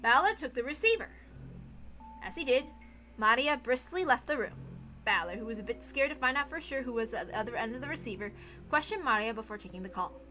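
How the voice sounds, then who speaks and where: Female narrator for dramatic visual novel reading: bright, very lively pitch, measured pace. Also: soft background music. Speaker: narrator, story reader.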